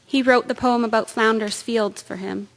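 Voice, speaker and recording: A woman's voice: a little high, fairly even intonation, normal speed, vocal fry, big-room sound, rough recording. A woman playing an earnest, dutiful young wartime nursing sister in a radio drama, polite in manner.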